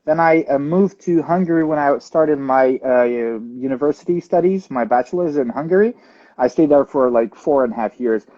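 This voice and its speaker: Man, from Ukraine, young adult, interview guest, halting with fillers, warm dull voice. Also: medium pitch. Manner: thoughtful, many fillers and restarts, laughs easily. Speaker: guest drummer. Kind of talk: casual interview answers.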